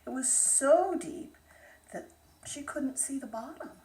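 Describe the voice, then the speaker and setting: A woman storyteller reads a fairytale slowly, with dramatic pauses. Her voice is fairly high and very animated in pitch. It is recorded as a bedtime read-aloud. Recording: roomy echo, distant microphone.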